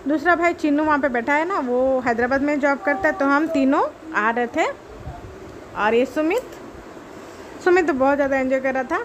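A woman in her thirties narrating her train trip for her vlog viewers in a chatty, excited way, talking straight to them. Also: high voice, a little hiss or hum. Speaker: travel vlogger. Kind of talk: casual vlog voice-over.

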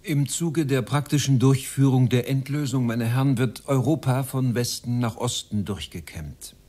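An actor playing a cold, measured Nazi official addressing a meeting in a radio play. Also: male voice, mid-range voice, dark tone, lightly acted. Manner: measured, bureaucratic.

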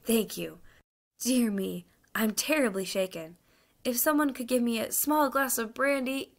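Lady doing a doddery old gent in a storybook, faint and shaken, bit creaky, muddled. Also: a little high, a little slow, pitched above the performer's own voice. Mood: shaken, feeble. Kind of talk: dramatised children's storybook reading.

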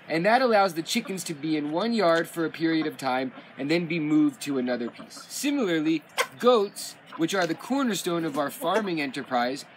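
High-voiced young man touring a farm. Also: slight background noise, rough recording. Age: young adult. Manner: steady, methodical explaining. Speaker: farmer giving a tour. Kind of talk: explanatory farm tour. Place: outdoors on a farm among gardens and animal pens. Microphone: camera at a few meters.

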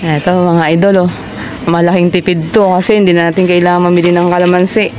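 A man's voice: sits high, flat delivery, fast, music in the background. A man casually narrating his fishing vlog outdoors, explaining his catch and nets in an easygoing way. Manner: easygoing, explains while working. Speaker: fisherman vlogger. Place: outdoors by boats and in a village. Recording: noisy outdoor phone-camera recording.

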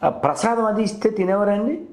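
ప్రశ్నలతో నిలదీసే, హెచ్చు స్థాయి గొంతున్న మగవ్యక్తి. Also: male voice, sits high, a little fast, darkish tone. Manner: probing, persistent, leading questions. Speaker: host questioning a caller in religious debate. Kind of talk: conversational phone debate. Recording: roomy, echoey phone call recording.

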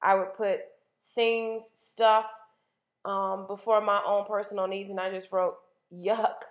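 A woman's voice: mid-range voice, little pitch movement, slow. Thirties woman hosting a solo podcast; candid and chatty, recorded in a roomy space. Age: 30s. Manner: chatty, candid, uses filler "like" and "um". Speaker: podcast host. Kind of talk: casual solo podcast monologue. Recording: roomy, dull lo-fi sound.

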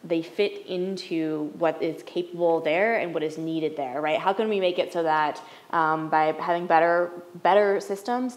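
Female panelist, very low voice, measured and conversational. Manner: measured, hedging with "right?". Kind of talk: panel discussion answer. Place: large wood-paneled lecture hall.

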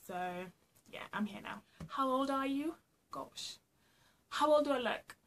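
A woman's voice: high voice, a little slow, creaky, fairly bright tone, slight background noise. A young woman from Trinidad and Tobago with a Trinidadian accent, chatting playfully and laughing often as she answers get-to-know-me questions for her vlog in a small room at home. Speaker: vlogger.

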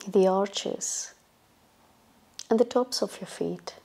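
Female yoga guide, very unhurried and soft-toned, soothing meditation delivery with long pauses. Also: mid-range voice, vocal fry, breathy edge. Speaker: yoga instructor.

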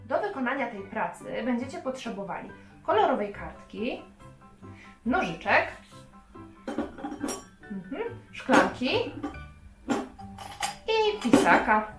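Higher-pitched woman presenting to children, roomy sound. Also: very animated, slow, long pauses. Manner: addresses young children directly with simple questions. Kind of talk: hands-on craft and game instruction for children. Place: child's bedroom indoors. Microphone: camera a few metres away. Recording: roomy, distant camera sound with music.

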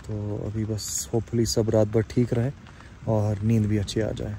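A man's voice: lowish voice, monotone, measured pace, dark tone. A young man, talking casually to camera and companions as a camping vlogger. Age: young adult. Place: outdoor campsite and inside a tent. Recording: noisy outdoor phone recording. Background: clear ambient outdoor noise.

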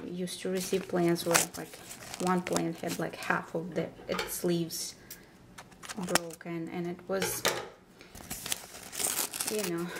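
A woman giving casual unboxing commentary as a vlogger, speaking haltingly in short phrases with pauses, recorded indoors at a table. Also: lowish voice, vocal fry. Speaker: vlogger presenting her plant unboxing. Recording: background noise with paper crinkling and clicks. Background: crumpling paper packaging.

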